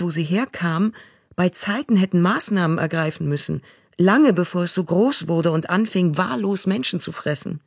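Breathy voice reading literary prose. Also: mid-range voice, dry recording, studio quality. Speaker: voice-over reader.

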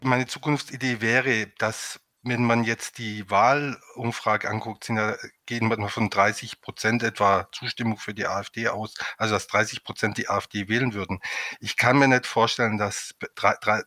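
A man's voice: mid-range voice, sharp tone, dry recording. A man speaking as a psychotherapist guest on a panel discussion, thoughtful and searching, often restarting his sentences.

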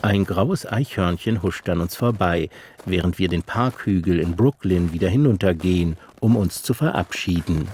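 A man narrating a radio feature, measured and literary, and interviewing an author. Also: low-pitched, a little mellow, slight background noise. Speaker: radio reporter. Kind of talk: scripted narration.

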